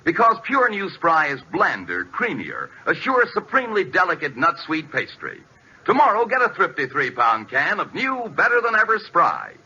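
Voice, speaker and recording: Male vintage ad pitchman, extremely bright and animated, old muffled radio sound. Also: moderate pace, a little hiss or hum. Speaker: commercial announcer. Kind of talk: scripted 1940s radio ad read. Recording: dull old radio broadcast.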